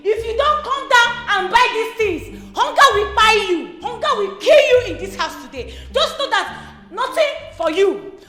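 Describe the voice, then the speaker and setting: A woman playing a quick-tempered madam, scolding in Nigerian Pidgin with a Nigerian accent and laughing asides. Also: very high-pitched, expressive, pushed and bright, soft background music, heavily acted. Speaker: employer scolding her house help. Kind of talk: comedy skit acting.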